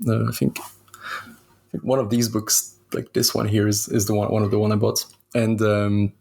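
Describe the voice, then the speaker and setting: A young man from Switzerland, a guest telling his story in a relaxed, hesitant way with many pauses. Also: on the low side, creaky, breathy edge. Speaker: guest concept artist. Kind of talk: casual interview storytelling.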